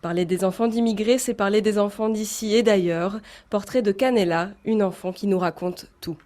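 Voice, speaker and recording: A woman reading a scripted documentary voice-over in a calm, measured way. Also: mid-range voice. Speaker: documentary narrator.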